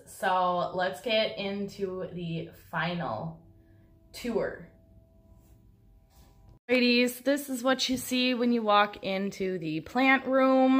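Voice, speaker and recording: A woman's voice: medium pitch, a bit bright. A woman, giving a casual, chatty room tour with wry, self-deprecating humor. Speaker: homestead and houseplant vlogger. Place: small upstairs room in an old house, indoors.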